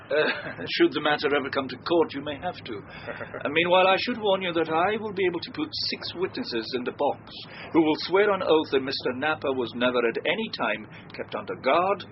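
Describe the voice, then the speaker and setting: A man performs a smooth, evasive British solicitor for a radio mystery. He is formal and pompous, choosing legal phrases. The sound is muffled with clear noise. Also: fairly high pitch, lively intonation, fairly quick, lightly acted. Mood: coolly warning. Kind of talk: radio drama acting. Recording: dull, noisy radio recording.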